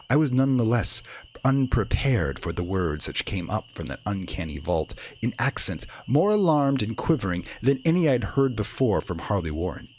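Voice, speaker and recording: A man narrating a horror radio play in a grave, theatrical, deliberate manner. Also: fairly low pitch, a little hiss or hum. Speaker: narrator and performer.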